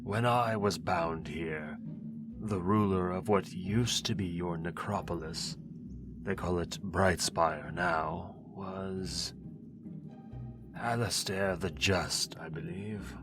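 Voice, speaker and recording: Man doing an ancient bound necromancer, very deep, slow, theatrical, music behind. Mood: scheming, persuasive. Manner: theatrical, pompous and wheedling. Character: ancient bound male necromancer, pompous and wheedling. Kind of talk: ASMR audio roleplay.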